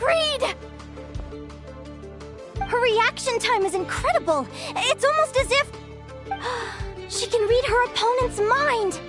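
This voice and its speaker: A woman voicing an earnest, excitable young girl trainer in a video game, with breathless, expressive exclamations. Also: very high voice, slow pace, long pauses, heavily acted. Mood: excited, proud. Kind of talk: English video game voice acting. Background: background music.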